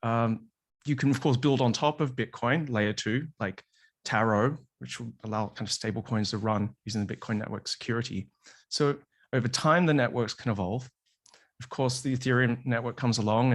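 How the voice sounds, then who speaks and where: A man's voice: mid-range voice, normal speed. Man co-hosting a casual podcast. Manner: loose, conversational questions with fillers. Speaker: podcast co-host. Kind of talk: casual conversation.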